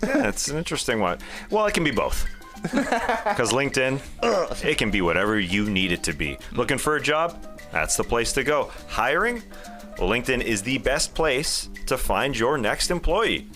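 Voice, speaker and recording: A man's voice: medium pitch, sing-song, normal speed, bright, forceful tone, soft background music. A man who co-hosts a podcast, delivering a conversational sponsor read. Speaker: podcast co-host reading sponsor ad.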